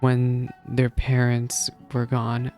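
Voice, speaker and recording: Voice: medium pitch, monotone, slow pace, mellow, faint music behind. A streamer giving casual live reaction commentary while following a game's story.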